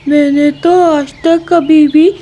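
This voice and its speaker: A performer voicing an earnest, pleading female eagle with a drawn-out delivery in a children's cartoon story. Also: high voice, little pitch movement, mellow.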